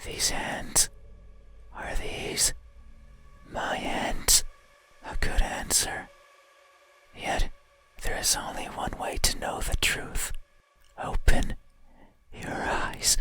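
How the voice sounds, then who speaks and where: An actor voicing an eerie, needy creature in a horror visual novel, speaking in a breathy, voiceless whisper with halting, lingering words. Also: male voice, bright, forceful tone, heavily acted. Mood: probing, insistent. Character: eerie, needy creature with restless grasping hands.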